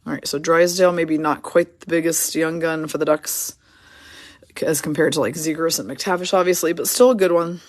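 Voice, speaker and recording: Hoarse woman, quick listing of names, dry close sound. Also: low voice, steady tone, no echo. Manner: rattles off player names and teams in quick lists. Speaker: card break host. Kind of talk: casual card-opening commentary.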